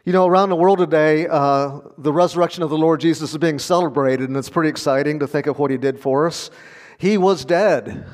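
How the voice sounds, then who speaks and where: A man's voice: fairly high pitch, a little fast, long reverb tail. A man preaching a sermon in an earnest, conversational way, with small laughs as he talks. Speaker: pastor.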